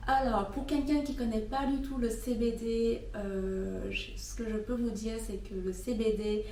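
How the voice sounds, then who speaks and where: Mellow Vietnamese-accented woman in a roomy space. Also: fairly high pitch, steady tone. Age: 30s. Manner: hesitant, searching for words, frequent pauses. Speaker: brand founder being interviewed. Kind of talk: informal explanatory interview testimonial. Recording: roomy echo, distant mic, faint noise.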